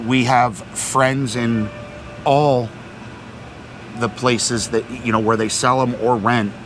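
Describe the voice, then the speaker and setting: A middle-aged man explains things in a loose, rambling way, doubling back on words. He sits outdoors at a marina, picked up by a camera a few meters off. Clear outdoor noise runs under his voice. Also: fairly low pitch. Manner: talkative, repeats words, many fillers. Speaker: vlog co-host. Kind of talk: casual explanatory chat. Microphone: fixed camera at a few meters.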